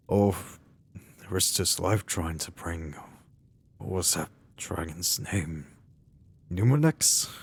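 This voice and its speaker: Man playing deep-voiced, earnest ex-mercenary hero. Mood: musing. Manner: halting, deliberate, earnest. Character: earnest, reassuring male ex-mercenary adventurer hero. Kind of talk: improvised video game roleplay.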